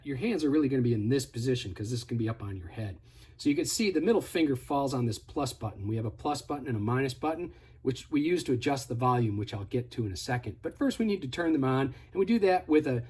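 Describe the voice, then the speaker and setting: A man walks the listener through a product demonstration. His voice sits at a medium pitch with a somewhat husky, unpolished edge. He explains each step in a casual, conversational and instructive manner. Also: darkish tone.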